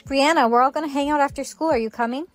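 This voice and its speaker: A light, high-pitched teenage girl, played by a woman, chatting casually in a comedic skit. The manner is breezy and friendly, the schoolfriend type. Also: fast talker, close to an everyday voice. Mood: teasing.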